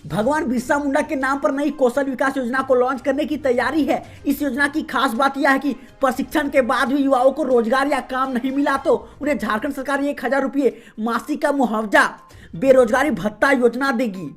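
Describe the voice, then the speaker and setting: A woman reading the day's regional news as a host, in a steady, formal newsreader delivery. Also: high-pitched, moderate pace. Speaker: news reader. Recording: faint music behind voice.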